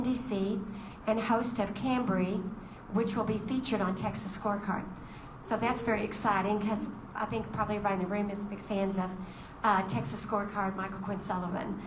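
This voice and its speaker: A woman's voice: mid-range voice, roomy sound, background noise. A woman emceeing a meeting, reading out a speaker's bio with chatty asides. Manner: reads bios with chatty asides. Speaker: event emcee. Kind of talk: introducing speakers.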